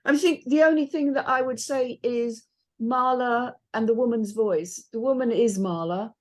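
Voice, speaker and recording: Middle-aged woman with a higher voice. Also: moderate pace. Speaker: chair of a script feedback panel. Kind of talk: casual group discussion.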